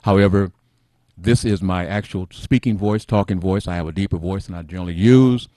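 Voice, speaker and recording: Soft-spoken low-voiced man hosting. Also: a little fast, no echo, clean studio sound. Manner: soft-spoken, rambling, addresses viewers as family. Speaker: host. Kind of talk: casual call-in talk show.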